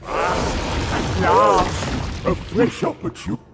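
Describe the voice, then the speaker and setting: Gritty defiant fantasy warlord, grandiose, really slow but swings all over the place, game cutscene w music. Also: male voice, high voice, sing-song, creaky, heavily acted. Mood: triumphant, demanding. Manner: declamatory, grandiose, deliberate. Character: proud, defiant zealot warlord of a plague god. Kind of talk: video game cutscene acting.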